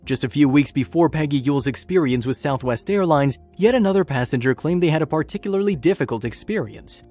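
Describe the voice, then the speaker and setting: A man's voice: on the high side, animated, fairly quick, darkish tone. A man reading scripted, documentary-style story narration as a narrator. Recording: music bed under narration. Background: background music.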